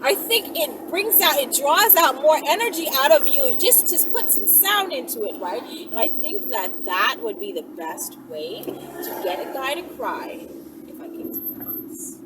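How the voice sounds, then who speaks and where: Woman, high-pitched, casual Q&A host, emphatic, tag questions, very loud background noise. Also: a little slow. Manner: animated, emphatic, often tags sentences with "right?". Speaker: channel host. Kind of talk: casual question-and-answer chat. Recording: distant mic, loud vehicle-like background noise.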